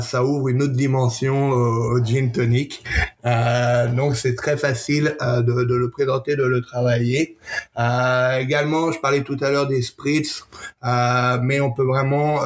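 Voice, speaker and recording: A man presenting a product conversationally as an interview guest, pausing often and repeating words as he goes. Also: medium pitch, level tone. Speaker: gin brand representative, interview guest.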